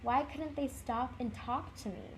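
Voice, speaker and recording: A woman's voice: high voice, measured pace. A woman voicing a small, earnest toy rabbit in a storybook, hurt and lonely, speaking plaintively and insistently. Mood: pleading. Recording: clear background noise.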